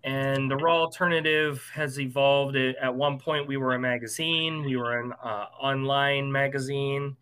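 American male musician, interview guest, conversational delivery with a bright, forward edge. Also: medium pitch, level tone, moderate pace, hardly any pauses, smooth.

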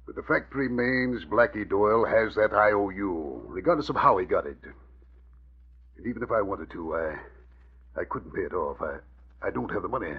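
A man's voice: fairly low pitch, lively intonation, normal speed, slightly rough. An actor playing a stern, troubled middle-aged father and district attorney in an old radio drama, grave and earnest. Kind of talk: 1940s radio acting. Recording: muffled old radio recording.

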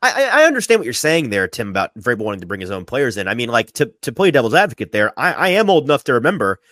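A man hosting a sports talk podcast, sniffly from a sinus infection, animated and often repeating his first words as he starts a thought. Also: mid-range voice, fast talker, no room sound, clean studio sound. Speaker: podcast host.

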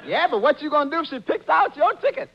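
A man's voice: very high-pitched, very animated, quick pace, full character voice. An actor playing an easygoing, wry male sidekick with folksy grammar in a 1940s radio comedy. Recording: muffled, noisy old radio broadcast with audience.

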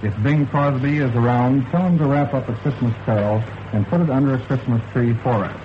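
American wartime radio correspondent, dull noisy relay. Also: male voice, medium pitch, fairly quick. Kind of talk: 1940s scripted radio news narration.

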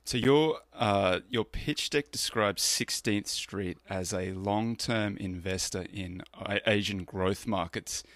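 A man hosting a podcast, introducing his guest and asking brisk, direct questions. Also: fairly low pitch, fairly bright tone. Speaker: podcast host. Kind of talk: podcast interview.

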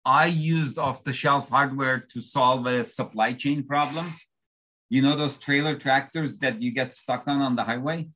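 A man from Turkey, speaking English with a light accent that drifts between influences. His voice is bright and forward, and he tells his story in a measured, explanatory way, like a founder walking an interviewer through his path. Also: mid-range voice. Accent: light shifting foreign accent. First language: Turkish. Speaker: startup founder, guest. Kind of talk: interview answers.

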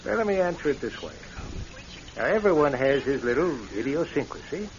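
An American man playing an avuncular, evasive small-town doctor in a radio drama, speaking in a measured, folksy, reassuring way. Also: a little high, expressive. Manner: folksy, measured, circling around answers. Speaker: actor in a radio play. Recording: dull lo-fi radio recording.

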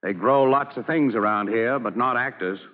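A man's voice: medium pitch, moderate pace, close to an everyday voice. An actor playing an upbeat, reassuring middle-aged American father, folksy and hearty, in old-time radio drama style. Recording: dull old radio recording.

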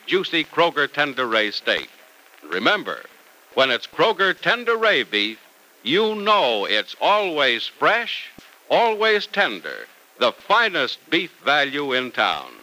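An old radio broadcast of an American man announcing. His voice is fairly high, very bright and forceful, with lively swings and polished emphasis. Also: poor audio. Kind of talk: 1950s radio announcing, scripted and salesy.

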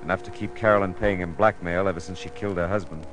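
An actor playing a world-weary American private detective in an old radio drama, laconic, wry and deadpan. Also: male voice, low voice, fast talker, music in the background, close to an everyday voice. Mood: wry, brooding. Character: hard-boiled world-weary private detective, grown man. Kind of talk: 1950s American radio drama acting and narration. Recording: noisy old radio broadcast recording.

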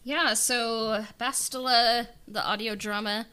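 Slow-talking woman with a clear, smooth voice. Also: a little high, fairly even intonation, pushed and bright. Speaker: podcast co-host, audio drama writer being interviewed. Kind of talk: casual interview chat.

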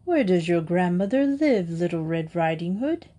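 A woman's voice: on the low side, sing-song, normal speed, warm tone. A woman voicing a crafty male wolf in a storybook, coaxing and falsely sweet. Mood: falsely friendly. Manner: coaxing, scheming. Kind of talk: storybook character voice.

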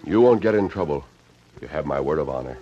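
An American actor playing a steadfast, commanding masked hero in an old radio western, assured, earnest and clearly spoken. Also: male voice, very deep voice, expressive, a little fast. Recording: dull lo-fi vintage radio recording, some noise.

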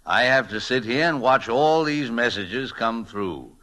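A man's voice: medium pitch, animated, measured pace. An actor playing a kindly, philosophical older telegraph operator in a 1940s radio drama, talking in a hesitant, ruminative, fatherly way. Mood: fatherly, reflective. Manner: hesitant, ruminative, gently stammering. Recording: vintage 1940s radio recording.

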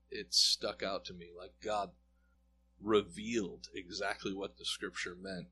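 A man's voice: deep voice, pushed and bright, slight background noise. A man as an interview guest, earnestly telling a personal story in a conversational way and acting out bits of dialogue. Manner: earnest, reflective, acts out quoted dialogue. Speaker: guest sharing a personal testimony.

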